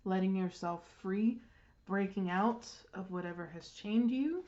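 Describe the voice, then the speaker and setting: Woman tarot reader, stuffed up and throat-clearing, meandering delivery with lots of ums, slightly dull recording. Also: medium pitch, warm tone. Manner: unhurried, with frequent ums and restarts. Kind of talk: casual card reading.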